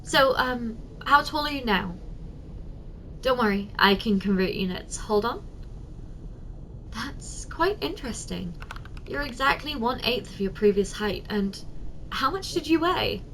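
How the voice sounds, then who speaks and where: Giant female secretary played by a woman, ASMR roleplay: higher-pitched, soft, tender and teasing toward her tiny boss, noisy ambient background. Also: lively intonation, measured pace, long pauses. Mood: playful, curious. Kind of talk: ASMR roleplay, addressing the listener directly.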